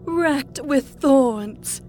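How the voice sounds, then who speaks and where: Woman acting slow, fanatical religious zealot woman. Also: high-pitched, darkish tone, soft background music, pitched above the performer's own voice. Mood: fervent proclaiming. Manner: slow, drawn-out proclaiming. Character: fanatical, mocking woman patient in religious ecstasy. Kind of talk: dramatic audiobook character acting.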